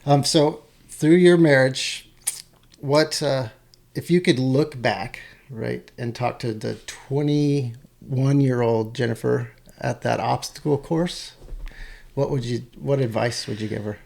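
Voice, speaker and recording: A man hosting an interview podcast, speaking in a halting way, often restarting and repeating words. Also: medium pitch, gravelly, darkish tone. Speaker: podcast host. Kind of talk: conversational interview.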